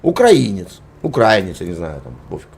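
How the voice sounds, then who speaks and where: A man's voice: low-pitched, huge pitch swings, moderate pace, vocal fry, slightly rough. A man hosting, arguing hotly and scornfully with a stranger, swearing and repeating his demands. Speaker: channel host arguing with a stranger. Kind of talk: heated argument, swearing.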